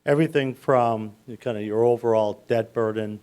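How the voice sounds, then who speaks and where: A man with a loud voice, working as a municipal finance advisor, walks a city council through a bond presentation step by step in a council chamber. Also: mid-range voice, normal speed, clear, resonant tone.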